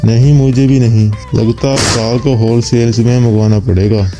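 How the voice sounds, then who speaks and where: A man's voice: mid-range voice, steady tone, moderate pace, dark tone, faint music behind, lightly acted. A man voicing an easygoing elderly father-in-law in a cartoon, who grumbles about his food. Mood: grumbling. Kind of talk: cartoon voice acting.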